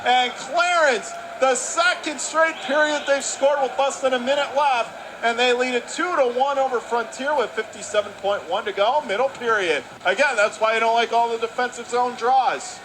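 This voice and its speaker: A man doing radio play-by-play of a hockey game, calling fast and rising sharply into excitement on goals. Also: extremely high pitch. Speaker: play-by-play announcer. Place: arena broadcast position. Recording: noisy arena broadcast.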